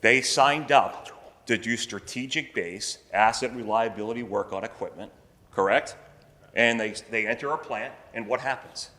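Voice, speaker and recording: A man speaking on a conference panel, loud and projecting without a microphone. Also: mid-range voice, normal speed. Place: conference session room. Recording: long hall reverb.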